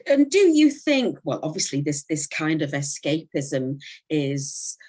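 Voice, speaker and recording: Female interviewer, animated intonation, warm affirmations, conversational. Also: low voice, a little slow, husky. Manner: chatty, encouraging, many affirming murmurs.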